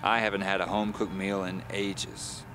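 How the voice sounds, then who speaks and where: A man's voice: sits low, level tone, normal speed, noisy background, natural voice. A man playing a polite, wry thriller novelist in an audio drama, courteous and dryly humorous. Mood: grateful. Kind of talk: audio drama first-person storytelling.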